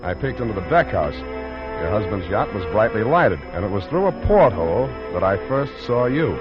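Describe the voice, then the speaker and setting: An actor playing a wisecracking hardboiled private detective, wry and deadpan, in 1940s radio style. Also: male voice, fairly low pitch, normal speed. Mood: wry, breezy. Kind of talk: 1940s radio acting with narration. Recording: muffled 1940s radio recording, noisy music.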